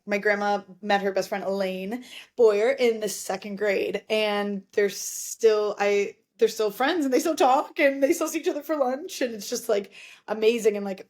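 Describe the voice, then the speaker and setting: A woman's voice: medium pitch, darkish tone. A young adult woman chatting casually as a podcast guest, earnest and rambling, with slang and lots of "like". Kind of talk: casual chat.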